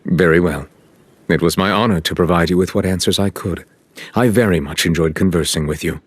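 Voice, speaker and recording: An actor playing a solemn, courteous chief justice in a video game, speaking in formal, measured, stately phrases. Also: male voice, deep voice, slight background noise, lightly acted. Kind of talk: scripted game cutscene dialogue.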